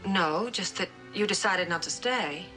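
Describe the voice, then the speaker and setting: A woman's voice: on the low side, unhurried, sharp tone, soft background music, low-quality recording. An actress playing a poised, flirtatious woman in a mid-century television drama. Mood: coy, familiar.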